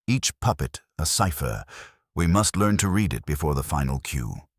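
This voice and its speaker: Guy reading as a brilliant brooding victorian detective man, audiobook, terse n grave, husky, really dry sound. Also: a bass voice, big pitch swings, no echo, exaggerated voice. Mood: grave, intent. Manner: grave, deliberate, cryptic. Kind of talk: audiobook character dialogue.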